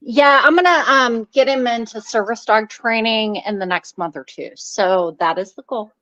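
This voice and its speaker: A woman's voice: a little high, normal speed, fairly bright tone, no echo. A woman chatting casually and informally as a guest on a livestream, through dull lo-fi audio. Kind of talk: casual chat.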